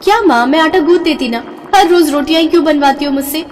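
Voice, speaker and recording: A woman voicing a spoiled, excitable young daughter in a storybook, whiny, dramatic and gushing. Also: high-pitched, fast talker, long reverb tail, pitched above the performer's own voice, lightly acted. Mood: whiny, complaining. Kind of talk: melodramatic audio storybook acting.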